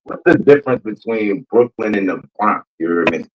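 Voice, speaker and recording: A man's voice: fairly low pitch, animated, moderate pace, breathy edge, dull audio. A man in his late thirties with a New Orleans accent, hosting a podcast and asking questions in a laid-back way. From New Orleans, USA. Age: 30s. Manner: laid-back, repeats "you know what I'm saying". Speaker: podcast host. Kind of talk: casual interview questions.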